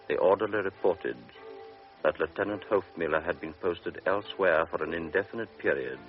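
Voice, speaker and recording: Male narrator, very deep voice, grave and measured; dull vintage radio sound with faint music. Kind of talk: scripted radio drama narration.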